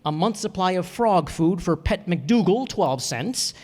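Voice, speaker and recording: A man's voice: sits high, expressive, a little slow, darkish tone. A man playing a bashful, literal-minded, penny-pinching teacher with a hesitant, earnest manner in an old-time radio comedy. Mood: earnest, fussy. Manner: hesitant, earnest, fussy, throat-clearing. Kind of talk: old-time radio sitcom acting before an audience. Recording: echoey hall, amateur stage performance.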